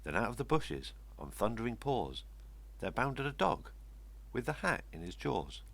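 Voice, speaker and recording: An older man with a gravelly, husky voice reading a rhyming children's story expressively. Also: medium pitch, sing-song, a little slow, fairly bright tone. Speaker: story reader. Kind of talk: expressive read-aloud children's storytelling in rhyme.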